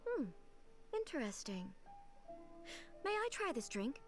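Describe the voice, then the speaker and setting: A serene female ruler from a video game, high in pitch and composed. Her formal, curious lines move expressively over faint music. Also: big pitch swings, slow pace, full character voice. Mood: pleased, pondering. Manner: composed, formal, gently authoritative.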